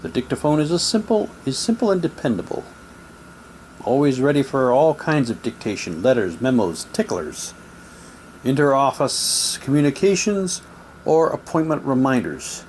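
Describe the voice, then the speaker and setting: A man's voice: medium pitch, animated, a little mellow. Man reading aloud, noisy background. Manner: halting read-aloud with muttered asides. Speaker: unboxing presenter. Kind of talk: casual unboxing, reading old newspaper props aloud.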